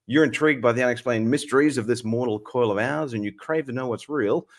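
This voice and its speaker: An Australian man in his thirties, hoarse from a cold, hosting a chatty livestream with lots of fillers. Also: medium pitch, fast talker. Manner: friendly, chatty, many fillers. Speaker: host. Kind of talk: casual livestream chat.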